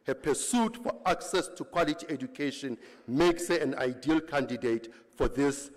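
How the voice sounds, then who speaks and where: A man reading a formal honorary degree citation at a graduation, halting and restarting over names. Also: mid-range voice. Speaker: ceremony presenter reading a citation. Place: large university great hall. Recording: long reverb of a large hall.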